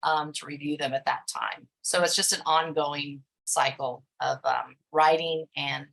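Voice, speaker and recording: A woman speaks on a video call as a panelist, her voice bright and forward while sitting a little low in pitch. She talks in a halting way, filling gaps with frequent ums and uhs. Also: steady tone, gravelly, low-quality recording. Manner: hesitant, many fillers. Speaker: grant writer, panelist.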